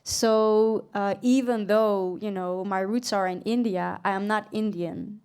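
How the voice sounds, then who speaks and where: A woman's voice: mid-range voice, fairly even intonation, a little slow, darkish tone, clean tone. A woman raised in the Netherlands, speaking as a panelist in a live stage discussion, thoughtful and hesitant, with many fillers. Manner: reflective, thinks aloud with many fillers and laughs. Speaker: panelist and singer. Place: event venue stage.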